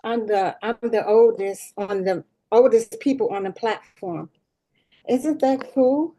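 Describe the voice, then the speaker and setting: Slow, mellow, self-satisfied woman principal played by a woman. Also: a little high. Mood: boastful. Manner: self-satisfied, dismissive, slangy. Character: loud, aloof, tone-deaf, attention-seeking mid-forties woman principal. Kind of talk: sitcom table read.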